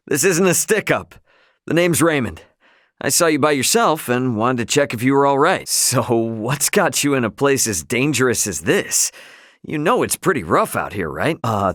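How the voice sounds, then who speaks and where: A man's voice: medium pitch, fairly bright tone, dry recording, studio quality. A man voicing an easygoing, friendly young trader and adventurer in a video game, with a casual, folksy delivery. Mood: upbeat, reassuring.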